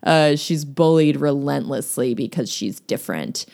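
A woman's voice: low voice, expressive, measured pace, vocal fry, a little mellow. A woman discussing a novel in a casual podcast chat, talking with many fillers and easy laughs. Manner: chatty, lots of fillers and laughs.

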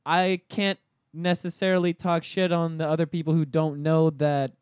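A man's voice: fairly high pitch, little pitch movement, moderate pace, darkish tone, clear, resonant tone, no echo. A Puerto Rican man talks as a guest in a casual studio chat. He rambles and leans on "you know" as he goes. The sound is dry and close. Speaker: podcast guest. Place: small studio.

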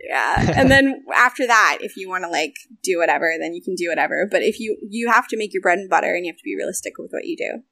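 A young American woman in a casual interview, talking animatedly about voice acting and game development, with frequent laughs and quick asides. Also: on the low side, lively intonation, gravelly, bright, forceful tone, no room sound, poor audio.

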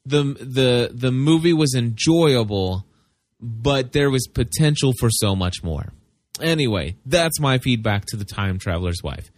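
A man's voice: mid-range voice, expressive, a little slow, no room sound, studio quality. A man leaving recorded feedback, an informal movie review that he thinks through aloud and hedges.

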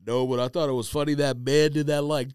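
A man's voice: fairly high pitch, flat delivery, brisk, darkish tone, clear, resonant tone, dry recording, studio quality. A man co-hosting a casual podcast, bantering and reading out items with offhand, jokey comments. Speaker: sneaker podcast co-host.

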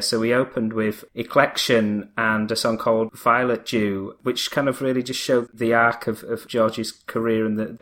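A man's voice: lowish voice, fairly bright tone. A man hosting a podcast interview, enthusiastic and rambling with fillers. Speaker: podcast host. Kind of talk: interview host.

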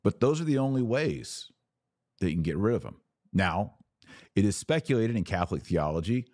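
An American man hosting a podcast, giving conversational commentary in an emphatic way with deliberate phrasing and pauses. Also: on the low side, lively intonation, darkish tone, dry recording, clean studio sound. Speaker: podcast host. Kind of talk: conversational commentary answering listener questions.

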